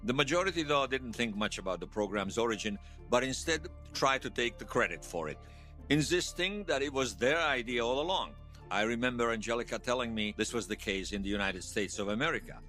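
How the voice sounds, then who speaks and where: A man's voice: medium pitch, lively intonation, brisk, sharp tone, faint music behind. An actor playing a wry, folksy Bosnian man who tells his past in an audio drama interview. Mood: wry, animated. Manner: wry storytelling with colourful folk sayings. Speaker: storyteller being interviewed.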